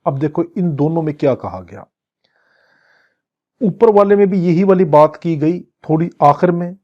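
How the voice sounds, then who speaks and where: A man giving a law lecture, reading English statute lines slowly and then explaining them. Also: a little high, animated, lo-fi sound.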